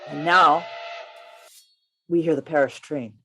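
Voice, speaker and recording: A woman playing a bold gentleman adventurer in a radio play, narrating his tale in an emphatic, theatrical way. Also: low voice, fairly even intonation, slow pace, husky, soft background music, full character voice. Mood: tense narrating. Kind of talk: radio play reading, first-person narration. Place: remote reading over a video call.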